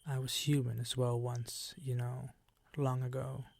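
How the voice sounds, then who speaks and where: Man acting a slow, creaky, seductive vampire count. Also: medium pitch, level tone, a little mellow, no echo. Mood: brooding, hushed. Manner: slow, teasing, commanding, theatrical formal phrasing. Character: seductive, predatory male vampire count, ancient and possessive. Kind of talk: ASMR roleplay acting.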